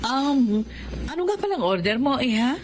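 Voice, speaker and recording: An actress playing a gracious middle-aged restaurant owner in a radio drama. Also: female voice, sits high, very animated, moderate pace, a little hiss or hum. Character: motherly, gracious woman running a restaurant. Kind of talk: Filipino radio drama acting.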